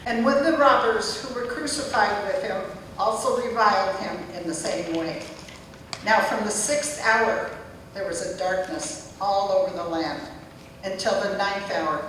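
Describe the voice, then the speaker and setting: A woman's voice: mid-range voice, unhurried, a bit bright. Female scripture reader, middle register, public narration picked up from far off in a very echoey church. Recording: echoey church hall, distant mic, faint noise.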